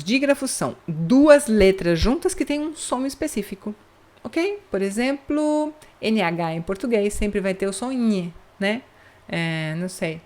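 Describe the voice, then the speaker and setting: A young woman from Brazil teaching a casual online lesson, often checking in with listeners and slowing down to spell out example words. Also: mid-range voice. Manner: chatty, checks in with "tá bom?" after points. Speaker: Spanish teacher.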